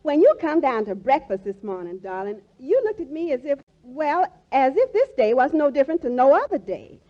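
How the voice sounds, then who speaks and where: An actress playing a sentimental, earnest middle-aged wife with a melodramatic, folksy way of talking in a 1940s radio comedy. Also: female voice, high-pitched, big pitch swings, moderate pace. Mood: touched. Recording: muffled vintage 1940s radio broadcast.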